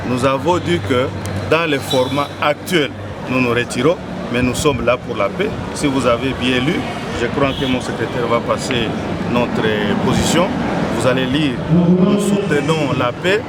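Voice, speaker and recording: A man's voice: medium pitch, a little slow. A man with a Congolese accent giving an insistent, repetitive statement to the press as a community leader. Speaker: community association president. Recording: loud crowd, outdoor urban noise.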